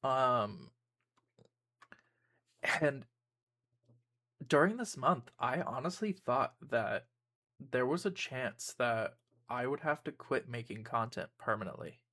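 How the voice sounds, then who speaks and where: A man's voice: mid-range voice, lively intonation, slow pace, pauses often, pushed and bright. A young man, a YouTuber and streamer, giving a casual personal update to his viewers, rambling with frequent ums and restarts.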